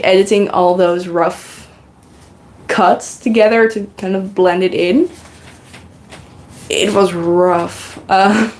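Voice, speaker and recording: A woman's voice: fairly low pitch, darkish tone. A woman whose first language is Dutch, rambling casually on her knitting live stream and thanking viewers in the chat, recorded on a good microphone. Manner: rambling, chatty, reads and thanks chat comments. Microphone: good podcasting microphone.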